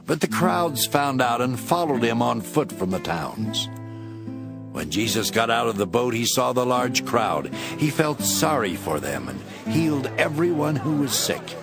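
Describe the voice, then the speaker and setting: A man narrating scripture as a scripted, dramatic story in a measured storytelling manner. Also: mid-range voice, very animated, vocal fry. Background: instrumental music.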